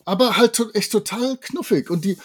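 A man's voice: sits high, moderate pace, husky, no echo, clean studio sound. A man, chatting casually and enthusiastically as a podcast co-host. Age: 50s. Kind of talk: casual chat.

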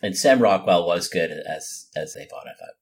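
Husky, lively-pitched male co-host. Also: normal speed. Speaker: podcast co-host, writer. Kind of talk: casual chat.

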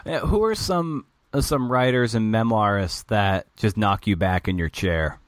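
Low-voiced American male interviewer, dry sound. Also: no echo. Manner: halting, circling phrasing of questions. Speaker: podcast host and interviewer. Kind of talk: casual conversational interviewing.

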